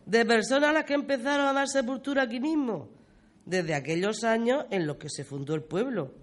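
A performer playing a talkative, fond old grandmother, reminiscing in folksy, expressive style in a community radio play. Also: mid-range voice, brisk, natural voice. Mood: chatty, storytelling. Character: talkative, fond old village grandmother telling local history.

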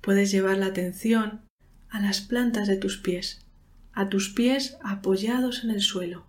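A woman guides a meditation at a medium pitch. She speaks calmly and without hurry, leaving pauses between short phrases in a soothing manner. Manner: calm, unhurried, soothing, with pauses. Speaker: meditation guide, podcast host.

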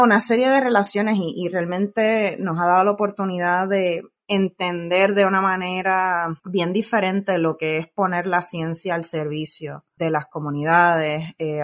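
A Puerto Rican woman with a Puerto Rican Spanish accent, a science communicator speaking as an interview guest, thoughtful and often pausing with fillers and restarts. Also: fairly low pitch. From Puerto Rico. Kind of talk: casual interview conversation.

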